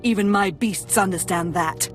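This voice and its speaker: A woman's voice: medium pitch, normal speed, natural voice. A woman voicing a cold, taunting, imperious assassin and master of beasts in a video game. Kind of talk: video game dialogue acting. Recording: music behind the voice.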